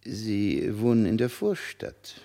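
An actor in a radio drama playing a slow-witted, awkward aristocratic husband who speaks haltingly and vaguely in few words. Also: male voice, on the low side, animated, creaky, dark tone, full character voice. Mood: awkward, vaguely polite.

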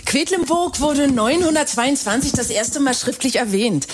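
An actress playing a helpful, precise town archivist in a naturalistic radio drama, with a matter-of-fact, informative manner. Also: female voice, high voice, lively intonation, moderate pace. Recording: roomy echo, faint noise.

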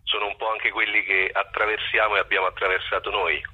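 A man's voice: on the low side, level tone, fast talker, husky. A man speaking casually as an interview guest, heard over what sounds like a phone line. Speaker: theatre performer, interview guest.

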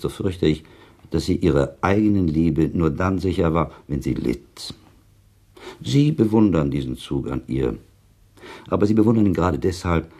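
A worldly sceptic in a literary radio drama. His extremely deep voice is gravelly and husky, and he argues with deliberate, cool irony. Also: a little mellow, heavily acted. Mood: ironic, insistent. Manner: deliberate, argumentative, ironic. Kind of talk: German radio play, literary dialogue.

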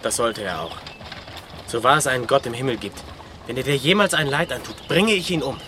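An actor playing a stern, sullen young sailor and protective brother, speaking brusquely in a radio play. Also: male voice, mid-range voice, animated, a little fast, noisy background, lightly acted. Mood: threatening. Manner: brusque, grumbling. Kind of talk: German radio play acting.

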